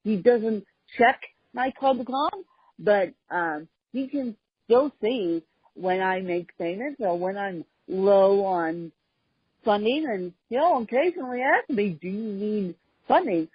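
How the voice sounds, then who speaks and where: A woman hosting a podcast in casual conversation, talking haltingly with frequent pauses and fillers. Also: mid-range voice, husky, no room sound. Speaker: podcast host. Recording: dull, dry sound.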